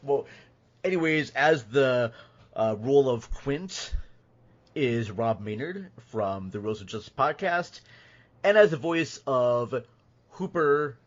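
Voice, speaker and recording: A man's voice: medium pitch, expressive, slow pace. A man hosting a podcast, introducing the cast of an audio drama. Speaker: podcast host. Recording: dull audio with music behind.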